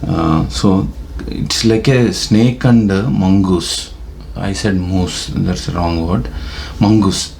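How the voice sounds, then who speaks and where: A man's voice: low voice, slow, gravelly, a little mellow, slight background noise. A man with a Telugu accent talking in a rambling vlog monologue, full of fillers and hesitations. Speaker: vlogger. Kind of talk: casual monologue to camera. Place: Detroit.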